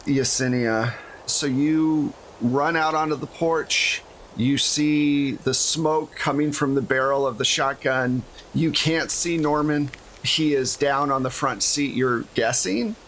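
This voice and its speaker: A man's voice: medium pitch, fairly bright tone, poor audio. Man game master, faint noise behind. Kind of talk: improvised tabletop game narration.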